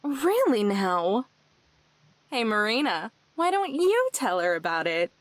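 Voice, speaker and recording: A smug, tough young woman played by a man in an anime game. She is sarcastic and defiant, taunting people in a mid-pitched, swooping voice. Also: sing-song, slow pace, a bit bright, slight background noise, full character voice. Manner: dry, taunting.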